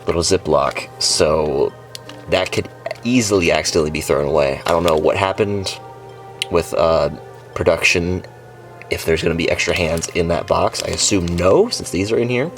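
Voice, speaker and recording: A young man with a very low voice, nasal and congested from a cold, gushing casually as he reviews a new toy. He rambles with plenty of fillers and restarts. Faint music plays behind him. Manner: chatty, excited, rambling with fillers. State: sick and congested. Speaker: toy reviewer, channel host.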